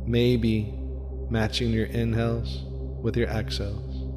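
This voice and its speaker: A man leading a guided meditation in a soft, soothing voice with a slow, repetitive cadence. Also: fairly low pitch, little pitch movement. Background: faint music with gong.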